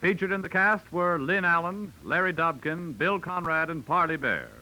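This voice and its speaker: A man's voice: a little high, moderate pace, sharp tone, low-quality recording. An American man announcing formally for 1940s network radio, with polished, emphatic phrasing. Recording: vintage 1940s radio recording.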